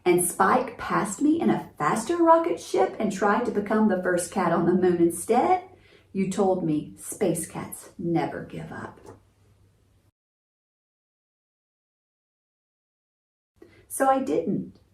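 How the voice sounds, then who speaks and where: A woman voicing a young male cat from a picture book, earnest and grateful, with an expressive, deliberate read-aloud delivery for children. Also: mid-range voice. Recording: roomy, distant mic, faint noise.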